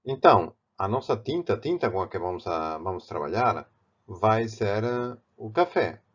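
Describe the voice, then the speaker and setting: A man from Barcelona speaking with a Spanish accent, teaching a painting technique in a halting way, with many restarts. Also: on the low side, lively intonation, slightly rough, dull audio. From Spain, Barcelona. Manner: halting, with frequent restarts and fillers. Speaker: art teacher. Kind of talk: step-by-step art tutorial.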